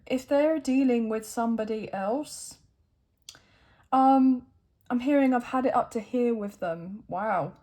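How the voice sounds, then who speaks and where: A woman with a croaky throat giving a chatty tarot reading to camera, thinking aloud as she draws cards. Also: on the high side, warm tone. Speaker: tarot reader.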